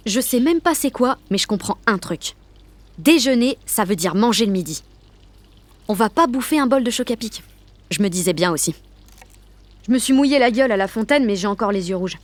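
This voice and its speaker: A woman's voice: a little high, animated, fairly quick, close to an everyday voice. An actress playing a rebellious, emotional sixteen-year-old girl who narrates an audio drama in blunt, sarcastic slang. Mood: bemused. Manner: slangy, blunt teenage delivery. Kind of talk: first-person audio fiction narration.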